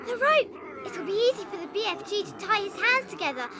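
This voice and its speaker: A female actor playing a brave, quick-witted little girl in an audiobook drama, urgent and breathless. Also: very high voice, expressive, heavy background noise. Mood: urgent, excited. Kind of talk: full-cast audiobook drama acting. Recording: loud sound effects behind the voice.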